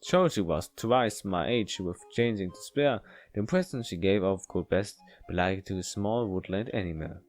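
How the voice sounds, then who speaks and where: A man doing a casual playthrough, reading a visual novel's text aloud in a halting way and stumbling over the written lines. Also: deep voice, expressive, a little mellow. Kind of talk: casual read-aloud game commentary. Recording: music behind the voice.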